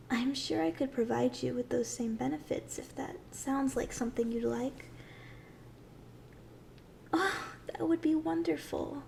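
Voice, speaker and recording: A gentle, ancient tree dryad, played by a woman, speaks in a high, very creaky voice at a slow, soothing pace. The delivery is soft and breathy, often near a whisper, in the style of a tender fantasy ASMR roleplay. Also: slight background noise, heavily acted. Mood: wistful, gentle, then warm, caring.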